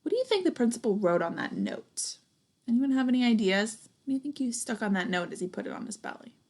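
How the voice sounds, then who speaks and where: A young adult woman reading a children's picture book aloud expressively, pausing to put questions and comments to young listeners. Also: a little high, very animated, darkish tone. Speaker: storybook reader, teacher.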